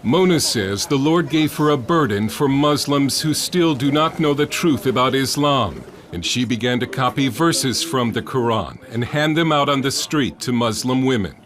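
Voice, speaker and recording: A man's voice: medium pitch, expressive, normal speed, hardly any pauses, a bit bright. A man narrating a scripted news report as a reporter.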